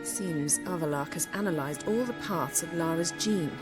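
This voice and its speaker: An actress playing a proud, commanding, sardonic sorceress in a video game, with formal and imperious phrasing. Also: female voice, sits low, moderate pace, loud music behind the voice, lightly acted. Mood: cool, sardonic. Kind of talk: video game dialogue acting.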